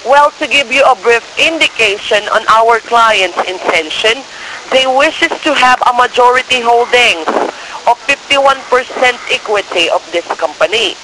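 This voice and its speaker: A man with non-native English phrasing giving a persistent, partly scripted telephone sales pitch, often checking that the listener follows. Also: unhurried. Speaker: cold-call share scammer posing as broker. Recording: noisy phone call with crackly hiss.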